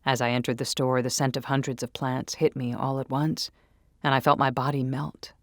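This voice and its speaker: A woman's voice: extremely low pitch, flat delivery, normal speed, no echo, studio quality. A woman narrating a first-person story in a dramatic, intimate and intense manner.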